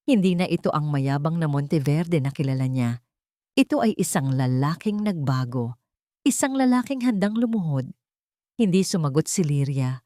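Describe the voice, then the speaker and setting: Low-voiced Filipino woman narrating, dry sound. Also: mellow, no echo. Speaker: audiobook narrator. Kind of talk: scripted dramatic story narration.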